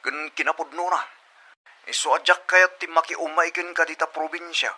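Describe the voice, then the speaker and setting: Man acting Jerry, a sharp, high-voiced Filipino boyfriend. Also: fairly even intonation, normal speed. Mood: cold, apologetic. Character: evasive, cold boyfriend Jerry, breaking off romance. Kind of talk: Ilocano comedy radio drama acting.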